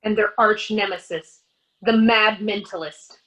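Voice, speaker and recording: A woman's voice: mid-range voice, a little slow, fairly bright tone, natural voice, poor audio. A woman reading the part of a fifteen-year-old superhero fangirl in a staged play reading over a video call, with a wistful, chatty voiceover delivery.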